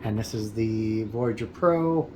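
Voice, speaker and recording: An American man giving a casual, plain-spoken how-to explanation in a methodical, measured way. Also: fairly low pitch. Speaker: amateur host of a radio repair video. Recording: echoey room, loud background noise.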